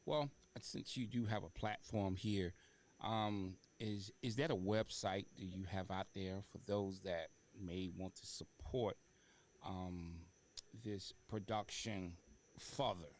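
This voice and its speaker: Guy hosting a talk show, lowish voice, kinda halting chatty questions, bit of noise behind him. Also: fairly even intonation. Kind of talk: conversational interviewing. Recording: noticeable background room noise.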